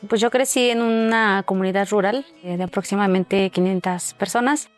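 A woman's voice: mid-range voice, normal speed, faint music behind. A woman singer from Oaxaca, Mexico, whose first language is Mazatec, answering interview questions earnestly with frequent fillers and restarts.

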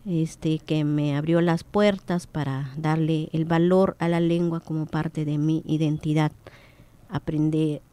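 A woman's voice: low voice, dark tone, no room sound. A woman whose first language is Popoluca, a linguist speaking as a guest in a radio interview, hesitant with many fillers.

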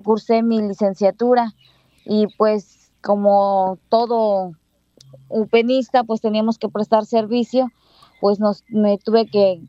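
A woman schoolteacher from Mexico speaking with a Mexican Spanish accent. Her voice sounds mellow and dark, and she pauses often with fillers and restarts as she recalls her experience. The recording is dry and somewhat muffled. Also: mid-range voice, no room sound. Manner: hesitant, many fillers and restarts. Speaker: bilingual schoolteacher. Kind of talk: interview storytelling.

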